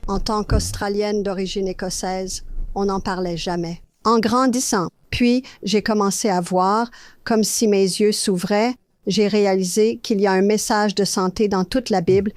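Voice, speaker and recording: A woman's voice: mid-range voice, steady tone, unhurried, a little mellow, dry recording, studio quality. An older woman from Australia, a health educator, telling her personal story as an interview guest in an earnest, anecdotal way.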